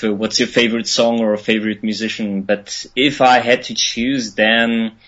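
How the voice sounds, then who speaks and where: A man in his twenties with a German accent, answering interview questions hesitantly, with many ums and pauses. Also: fairly low pitch, a bit bright. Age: 20s. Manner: hesitant, many ums and uhs, laughs often. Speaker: interview guest, musician. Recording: lo-fi online radio call.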